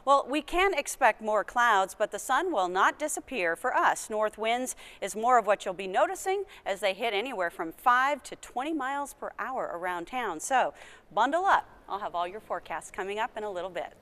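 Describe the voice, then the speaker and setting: A woman presents the TV weather in a mid-pitched voice. Her delivery is a casual broadcast style. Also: lively intonation, normal speed, a bit bright. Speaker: TV weather forecaster.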